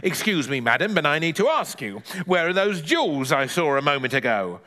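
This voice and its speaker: A pompous, suspicious older ex-army man from a storybook as a man acts him out. His voice is very nasal and forceful, drawn out into officious, bullying barks. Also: fairly high pitch, very animated, brisk.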